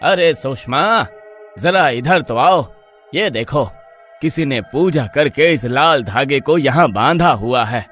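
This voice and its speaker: A man's voice: mid-range voice, animated, slow pace, fairly bright tone, faint music behind. A man playing an eager, trusting young husband from a village in a dramatised horror story, earnest and exclaiming. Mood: puzzled, worried.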